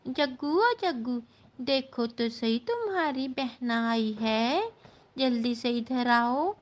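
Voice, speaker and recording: A woman's voice: sits high, expressive, slow pace. A woman voicing a bossy, brusque crow aunt with a motherly side in a cartoon story.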